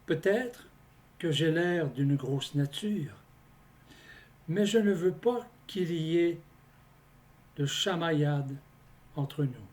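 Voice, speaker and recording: A man reciting his poems in a scripted, deliberate delivery, phrase by phrase with pauses between them. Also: a little high, darkish tone. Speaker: poet reading own poems. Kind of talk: slow scripted poetry recitation.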